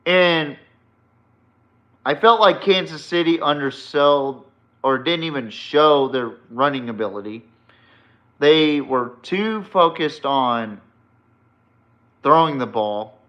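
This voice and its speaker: A man's voice: fairly high pitch, slow pace, long pauses, a bit bright. A man hosting a sports podcast solo, giving casual, opinionated football commentary in an emphatic, blunt way, swearing freely. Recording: dull, lo-fi sound.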